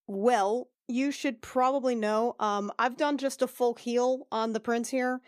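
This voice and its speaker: A woman's voice: a little high, steady tone, unhurried, smooth, no echo, studio quality, voice pushed up, natural voice. A woman playing a blunt, informal priestess healer who rambles in a tabletop game. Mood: blunt, awkward.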